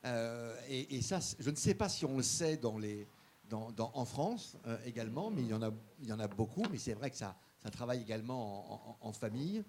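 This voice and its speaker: A man giving an earnest public speech as guest speaker, halting often and repeating small words as he goes. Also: mid-range voice, animated, a little mellow, a little hiss or hum. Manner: earnest, stresses key points. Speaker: guest speaker promoting a mentoring program. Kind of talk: public speech at a formal session.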